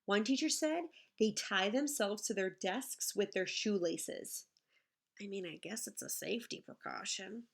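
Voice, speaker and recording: A woman reading a picture book aloud in the manner of a teacher, expressive and explaining things simply to children. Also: mid-range voice, unhurried, creaky. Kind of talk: animated children's read-aloud with asides to the listeners.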